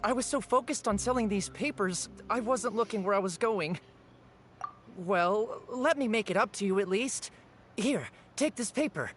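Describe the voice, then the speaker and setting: A man's voice: sits high, moderate pace, fairly bright tone, soft background music. A man voicing an eager, humble young newspaper seller in a video game, polite, apologetic and flustered. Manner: polite, flustered, self-effacing. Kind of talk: video game character dialogue.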